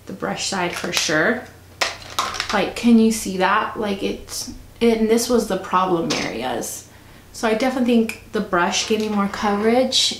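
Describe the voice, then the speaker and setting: A woman with a cold talking casually through a makeup review, chatty and soft-spoken, sometimes close to a whisper. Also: mid-range voice. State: stuffy, fighting a cold. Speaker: beauty vlogger. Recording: echoey room, faint noise.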